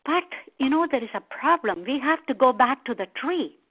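A high-voiced, clever monkey from a storybook, played by a woman. He is friendly and exclaims with emphasis. The recording sounds slightly dull. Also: expressive, normal speed, husky. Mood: eager, feigned regret. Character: friendly, quick-witted male monkey, easily alarmed.

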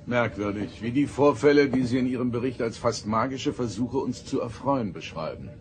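A commanding starship captain played by a man in a sci-fi audio drama. His voice sits at a middle pitch, formal and measured, probing as he speaks. There is clear background noise around him. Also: lively intonation, lightly acted. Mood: curious, composed.

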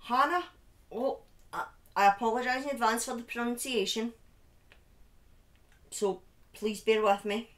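A woman's voice: fairly high pitch, fairly even intonation, a little slow, long pauses, fairly bright tone. A middle-aged British woman with a speech impediment, chatting casually and telling stories on a livestream in an informal, dramatic way. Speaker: live streamer telling true crime stories. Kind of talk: casual livestream chat and storytelling. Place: small dim room indoors. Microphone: desk microphone on a boom arm, close.

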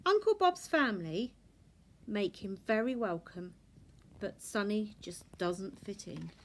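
Slow-paced woman reading a picture book. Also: mid-range voice, lively intonation, slight background noise. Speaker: storybook reader. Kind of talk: children's picture book read-aloud. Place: indoors in a furnished room at home.

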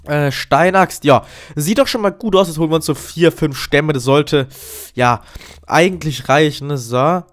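A man with a fairly high voice and a cold talks his viewers through a video game in a relaxed, chatty way. He keeps up a steady stream of upbeat remarks and fillers as he plays. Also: a little slow. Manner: chatty, upbeat, says "so" and "Freunde" often. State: has a cold. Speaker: gaming YouTuber. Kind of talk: casual Let's Play commentary.

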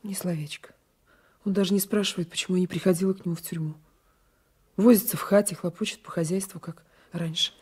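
An actress playing a defiant, lovestruck young peasant wife in a radio play, breathy and fervent, often dropping to a whisper. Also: female voice, lowish voice, steady tone, fast talker, darkish tone. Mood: tender, fervent. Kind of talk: 1980s radio play acting.

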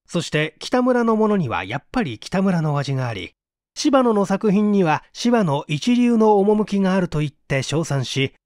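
A man reading literary fiction aloud as a narrator, in a theatrical, deliberate storytelling manner. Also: sits high, big pitch swings, a little mellow, no room sound, studio quality. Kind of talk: dramatic literary audiobook reading.

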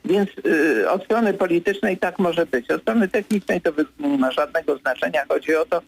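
An older man, a political commentator, answering as a guest in a radio studio interview, hesitant with many fillers, then firm and brisk. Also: on the high side, lively intonation, vocal fry, breathy edge, low-quality recording.

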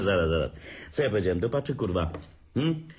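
A man's voice: on the low side, very animated, fairly quick, darkish tone, husky. An actor playing a drunk, crude older brother who taunts his sibling, slurring and sneering in a radio play. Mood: taunting. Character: drunk boorish man, mocking older brother. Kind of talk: radio drama acting.

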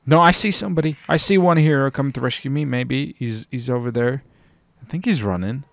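Gravelly-voiced man chatting over a game. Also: medium pitch, brisk, no echo. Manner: slangy, says dude and baby often. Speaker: gaming video host, roleplay player. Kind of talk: casual gameplay roleplay chat. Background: car game sounds.

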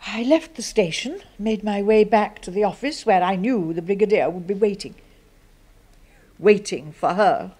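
Obsessive English spinster secretary played by an actress, swooping voice. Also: female voice, mid-range voice, lively intonation, moderate pace, dark tone, natural voice. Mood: intense, confessional. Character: obsessive, murderous spinster secretary, timid before her boss. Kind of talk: 1970s BBC radio horror drama acting.

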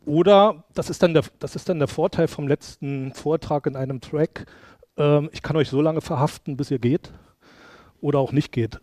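A man's voice: a little high, a little mellow, clean studio sound. A middle-aged man from Brandenburg giving a casual conference talk in a lecture hall, chatty and rambling, with many fillers and restarts. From Brandenburg, Germany. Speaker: conference speaker. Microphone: headset mic.

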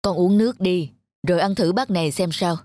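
A woman's voice: on the low side, brisk, dark tone, lightly acted. A woman voicing a patient but firm young father in a children's cartoon, speaking in an earnest, explanatory manner. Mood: patient, instructive. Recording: music behind the voice. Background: background music.

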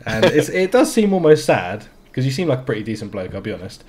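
A man's voice: medium pitch, very animated, darkish tone. A man in his thirties from England with a London accent, joking easily with an opponent over game voice chat. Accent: London English. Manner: laid-back, joking, drawn-out words. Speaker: streamer. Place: small home streaming room. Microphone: headset.

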